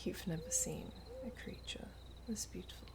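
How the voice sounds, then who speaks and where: A woman playing a gentle, wistful elven princess in an ASMR roleplay, speaking in a breathy, hushed voice with formal storybook phrasing. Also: moderate pace, natural voice. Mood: solemn, reproachful. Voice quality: breathy, whispery. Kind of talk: ASMR roleplay, soft whispery close speech.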